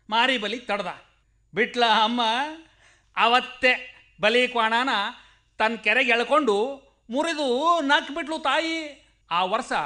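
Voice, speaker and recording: Very high-pitched stubborn rustic shepherd. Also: male voice, sharp tone, exaggerated voice. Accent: rural Karnataka. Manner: emphatic, admonishing, folksy. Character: stubborn superstitious rustic shepherd, fervent devotee of village goddess. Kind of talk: radio play acting.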